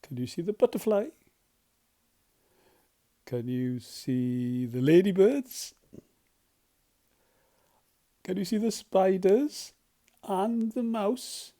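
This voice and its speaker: Children's storyteller. Mellow, unhurried, expressive, playful questions and hushed moments. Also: medium pitch. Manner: animated, dramatic, often drops to hushed tones. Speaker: volunteer storyteller. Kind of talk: expressive read-aloud of a children's picture book, with questions to the listener.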